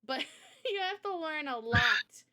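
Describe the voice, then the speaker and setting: A woman's voice: sits high, lively intonation, slow pace, pushed and bright. A woman chatting casually on a livestream Q&A in a giggly, informal way, as the host. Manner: giggly, laughs often while talking. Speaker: host and visual novel developer.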